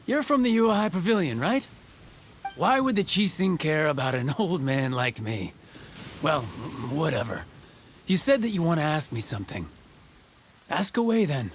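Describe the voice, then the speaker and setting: An actor playing a cheerful, blunt old village carpenter with a creaky, hesitant, chuckling delivery in a video game. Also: male voice, a little high, very animated, normal speed, a little hiss or hum. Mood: genial. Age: older. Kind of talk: video game character acting.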